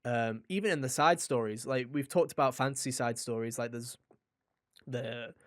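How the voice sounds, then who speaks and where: A man chats as co-host of a game review podcast, in a mid-pitched voice. He is casual and enthusiastic, rambling along in an animated way. The sound is dry, with hardly any room on it. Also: moderate pace, no echo.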